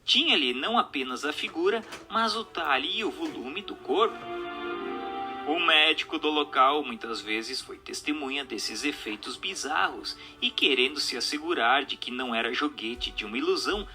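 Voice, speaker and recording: A man's voice: a little high, animated, moderate pace, sharp tone, faint music behind. A man with a Brazilian accent reading scripted book narration as a narrator.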